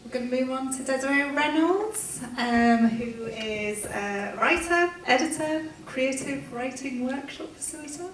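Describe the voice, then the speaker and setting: A woman's voice: sits high, unhurried, few pauses, fairly bright tone. A woman as panel host, introducing the next guest in a friendly, slightly hesitant way. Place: event room at a festival panel. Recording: echoey room, distant mic, faint room noise.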